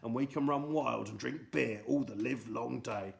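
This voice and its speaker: A man voicing Mister Gum, a grumpy, boastful old bully in a storybook, talking gruffly and ungrammatically. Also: medium pitch, normal speed.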